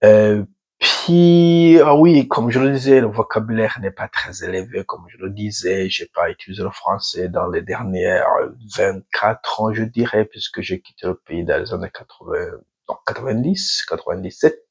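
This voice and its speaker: Man, Burundian accent, non-native speaker, hesitant, low gravelly voice. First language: a Burundian home language. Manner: hesitant, searching for words with many fillers; measured, deliberately slow. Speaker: video host. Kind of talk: casual talk to camera.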